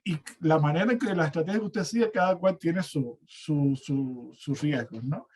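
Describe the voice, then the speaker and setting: A man's voice: on the high side, moderate pace, darkish tone, breathy edge. A man with a Cuban accent speaking as an academic panelist in a spontaneous discussion, hesitating and repeating words as he reasons. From Cuba. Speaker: academic panelist and university teacher. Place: online seminar, remote call.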